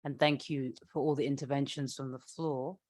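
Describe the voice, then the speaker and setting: A woman's voice: extremely low pitch, fairly even intonation, normal speed, a little mellow, no echo. Dry-sounding woman moderating an event. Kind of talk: semi-formal moderating and introductions.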